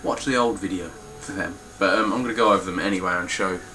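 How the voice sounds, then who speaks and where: A man's voice: low voice, fast, pushed and bright, soft background music. A man with a stuffy, nasal voice giving a casual, blunt spoken review of his game collection, with frequent ums and some swearing.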